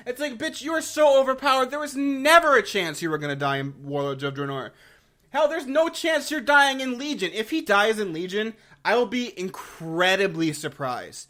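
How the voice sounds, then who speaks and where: High-pitched man on a sweary, exasperated rant. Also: big pitch swings, moderate pace, sharp tone. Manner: sweary, blunt, exasperated, informal slang. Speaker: video game lore reviewer and host. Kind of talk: casual opinionated review rant.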